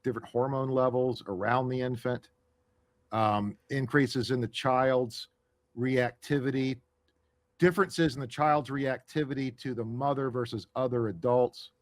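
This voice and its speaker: A man's voice: mid-range voice, steady tone, a little slow, dry recording. Questioning male podcast co-host. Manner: probing questions, restarts. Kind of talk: podcast discussion.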